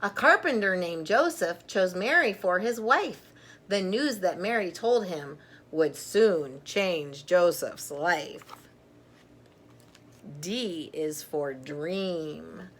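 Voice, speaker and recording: A middle-aged woman reading a picture book aloud to children, gently and deliberately, with chatty asides. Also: lowish voice, expressive.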